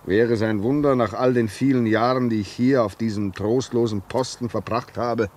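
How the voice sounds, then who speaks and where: A male actor playing a lonely, fearful and suspicious railway signalman in a German radio play, brooding and halting with sudden intensity. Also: medium pitch, fairly even intonation, darkish tone, lightly acted. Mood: lonely, confiding.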